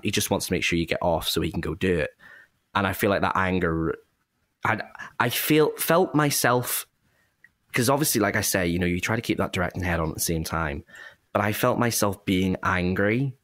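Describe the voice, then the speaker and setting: A man's voice: deep voice, fairly quick, fairly bright tone, dry recording, clean studio sound. A man chatting casually and reflectively about acting in and directing an audio drama. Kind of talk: casual behind-the-scenes chat.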